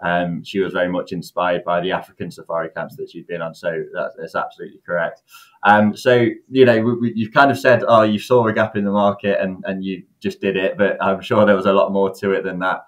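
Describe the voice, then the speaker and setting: A man's voice: deep voice, level tone, fairly quick. A man hosting a podcast, asking his guest structured questions in a friendly, casual way. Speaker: podcast host.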